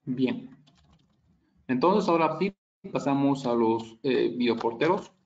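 A man giving a technical slide presentation as a product trainer, halting and explanatory, checking for agreement with tag questions. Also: mid-range voice, expressive, darkish tone, lo-fi sound.

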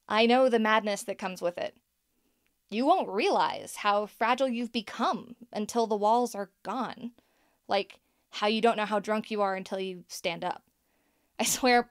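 An actress playing a young podcaster and scriptwriter, earnest and unsure of herself, talking in a conversational, hesitant way in a naturalistic audio drama. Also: female voice, mid-range voice, no room sound, studio quality, close to an everyday voice. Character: Helena, young woman podcaster and scriptwriter, self-doubting, earnest.

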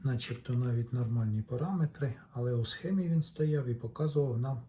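A man giving casual hands-on repair commentary, talking to viewers in an unhurried way as he works. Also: medium pitch, warm tone, highs cut off, slight background noise. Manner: unhurried, talks along while working, addresses viewers. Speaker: electronics repair video maker.

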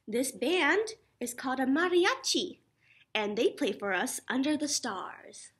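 A young woman teacher reading a children's picture book aloud in an animated, instructive way. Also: high-pitched, measured pace. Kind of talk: children's picture-book read-aloud with sing-song refrains. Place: small indoor classroom.

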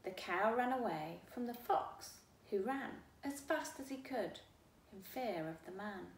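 A middle-aged woman reads a children's storybook aloud in a deliberate, expressive manner, recorded in a living room from a few feet away. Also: medium pitch. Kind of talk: read-aloud storytime narration. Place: small living room, indoors. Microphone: camera a few feet away. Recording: roomy, distant mic, faint hiss.

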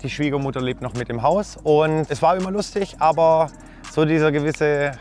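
A man in his thirties calmly explaining how he chose his dog, in an outdoor interview. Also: on the high side, normal speed. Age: 30s. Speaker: dog owner. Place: outdoors on a country path. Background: soft background music.

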